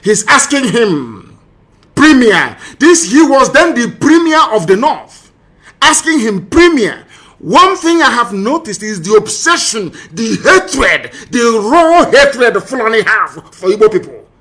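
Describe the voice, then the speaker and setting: Bright, emphatic Nigerian man, scornful orator. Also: extremely high pitch, big pitch swings, a little slow. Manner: emphatic, scornful, rhetorical questioning, repeats phrases for effect. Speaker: political broadcaster. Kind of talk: impassioned political monologue commenting on archive audio.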